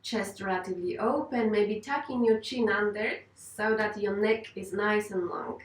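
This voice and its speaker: Woman, meditation instructor, unhurried reassuring delivery, mid-pitched voice, recorded at a distance with room echo. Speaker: yoga and meditation teacher. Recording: roomy, distant microphone.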